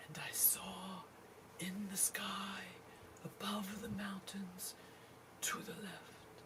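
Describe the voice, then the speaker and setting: A woman voicing an earnest furry puppet dog, telling a halting, breathy, whispered story in a soft ASMR roleplay style. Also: mid-range voice, fairly even intonation, close to an everyday voice. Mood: hushed wonder. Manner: halting, repetitive "you know", storytelling. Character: furry puppet dog, earnest, wide-eyed flying saucer witness. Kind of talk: soft-spoken ASMR roleplay, improvised narrative. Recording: noisy, distant hissy home recording.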